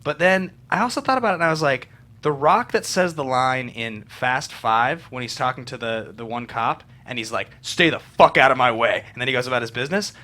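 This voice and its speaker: A man talks quickly in a bright, forward voice. He is a panelist chatting casually about a film in a studio. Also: medium pitch. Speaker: panelist on a film review show. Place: small studio, indoors.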